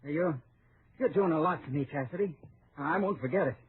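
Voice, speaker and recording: An actor playing an anxious, earnest young fugitive in an old American radio western, talking in a halting way. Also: male voice, fairly high pitch, breathy edge. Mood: anxious, grateful. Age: young adult. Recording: noisy, muffled old radio recording.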